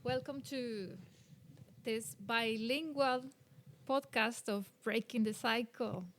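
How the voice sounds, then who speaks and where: A woman from Colombia, hosting a casual bilingual podcast chat and filling pauses with "um" and "uh". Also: a little high, a little hiss or hum. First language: Spanish. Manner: relaxed, chatty, with many fillers. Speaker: podcast host.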